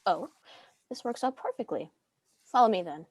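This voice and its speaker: A woman's voice: medium pitch, animated, normal speed, slightly rough, no echo. A woman playing a proud, composed noble widow in a dramatic script reading, speaking formally and with poise.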